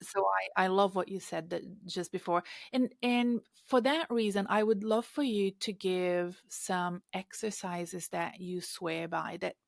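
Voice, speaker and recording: A woman's voice: mid-range voice, measured pace, vocal fry, few pauses, no room sound, clean studio sound. A woman hosting a job-hunting podcast and coaching clients, asking questions in a thoughtful way with hesitant restarts. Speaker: podcast host and career coach. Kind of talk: casual interview talk.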